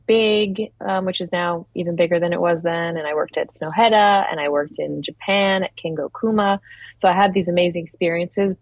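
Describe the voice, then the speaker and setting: Woman, thirties, lowish voice, dry close recording, reflective interview guest. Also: no echo. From Philadelphia, United States. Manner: thoughtful, with frequent restarts and "you know". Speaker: furniture designer, podcast guest.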